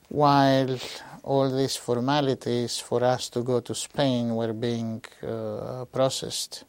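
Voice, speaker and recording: Slow, hesitant older man, dry sound. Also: mid-range voice, darkish tone, dry recording. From Greece. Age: 60s. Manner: slow, hesitant, with frequent pauses and fillers. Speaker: interviewee. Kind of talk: archival oral history testimony.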